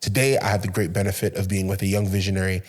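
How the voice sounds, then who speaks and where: Upbeat canadian guy hosting a podcast, talks fast, lots of mm-hmms. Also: low-pitched, flat delivery. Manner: upbeat and talkative, quick to affirm with mm-hmm. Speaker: podcast host.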